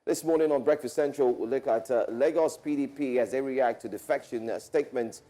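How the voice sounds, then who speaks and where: A Nigerian man in his forties, co-hosting a morning TV show in an animated, chatty way, often trailing off and restarting. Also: medium pitch, brisk. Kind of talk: casual studio chat. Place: large TV news studio.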